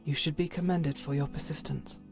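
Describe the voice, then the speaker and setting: A woman playing a devout, stern holy warrior in a video game, speaking in solemn, formal, measured phrases. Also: sits low, fairly even intonation, vocal fry, darkish tone, soft background music. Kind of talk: scripted video game character dialogue.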